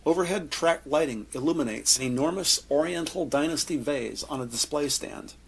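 Gravelly man narrating an audio drama. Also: on the high side. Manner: descriptive, measured present-tense storytelling.

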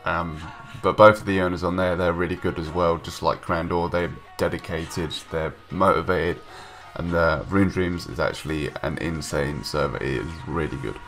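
A man's voice: low voice, steady tone, moderate pace, few pauses, a bit bright, faint music behind. A young man with a stuffy nose from a cold, giving casual, rambling voice-over commentary with many ums and restarts. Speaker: gaming YouTuber. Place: home room, recorded separately from gameplay.

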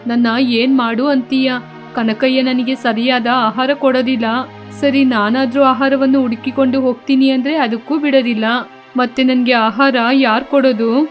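A woman's voice: high-pitched, fairly even intonation, moderate pace, soft background music, natural voice. A woman voicing a hungry pregnant cow in a children's moral story, complaining and insistent. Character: hungry, demanding pregnant talking cow, female.

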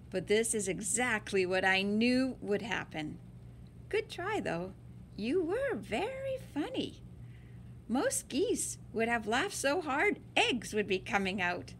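A woman's voice: on the high side, very animated, slow pace, slight background noise. A woman reading a picture book aloud to children in a dramatic, emphatic and playful way. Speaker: story-time reader.

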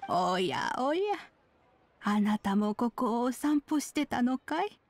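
Kindly old granny, game character: slow, wistful, gentle, slightly high. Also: female voice, lively intonation. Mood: friendly. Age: older. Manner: old-fashioned elderly speech, reflective. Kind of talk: Japanese video game voice acting.

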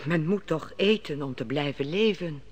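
A woman's voice: low voice, lively intonation, normal speed, big-room sound. An actress playing a skeptical queen who questions a prisoner in an old radio play. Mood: probing. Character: inquisitive Babylonian queen, probing, skeptical. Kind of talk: 1950s Dutch radio drama acting.

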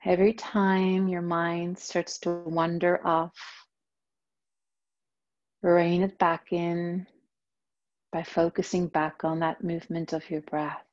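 Female meditation teacher, low mellow voice, very slow soothing delivery, slightly muffled recording. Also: little pitch movement. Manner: slow, soft, soothing instruction. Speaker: yoga teacher. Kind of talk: guided meditation.

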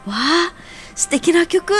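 Woman acting Maria, a high-voiced cheerful young woman. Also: lively intonation, slow pace, faint music behind, heavily acted. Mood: warm, admiring. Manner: bright exclamations, playful, polite to elders. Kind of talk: radio sound-drama dialogue.

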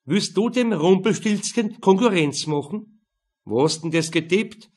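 A man voicing a gruff, irascible Austrian police major and father, loud, sneering and interrogating. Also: high-pitched, animated, unhurried, pitched above the performer's own voice, heavily acted.

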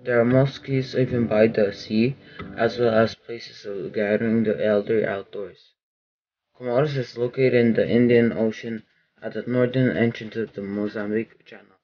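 A man student reading a school report plainly, his loudness rising and falling. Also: lowish voice, steady tone, normal speed, highs cut off. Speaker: student presenter.

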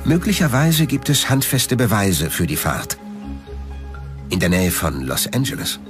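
A man's voice: lowish voice, a little slow. A man reading scripted documentary narration. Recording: music behind the voice. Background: background music.

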